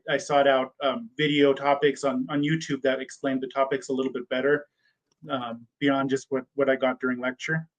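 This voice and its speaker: A man in his thirties, a PA school applicant answering mock interview questions, hesitant and full of ums and restarted words. He is from the United States, with German as his first language. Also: mid-range voice. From born in Germany, raised in the United States.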